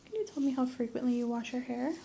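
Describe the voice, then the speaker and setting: Female ASMR examiner: soft and often whispered, dark relaxed tone, gentle clinical delivery, slight background noise. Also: on the high side, fast, gravelly. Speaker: ASMR performer playing a medical examiner. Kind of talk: ASMR medical exam roleplay.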